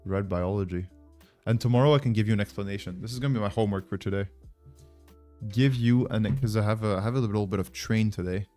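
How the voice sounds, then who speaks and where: A man's voice: on the low side, fast talker, warm tone, faint music behind. A man with a French accent hosting a casual livestream, talking to viewers in an informal, rambling way as he thinks aloud. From France. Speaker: livestream host.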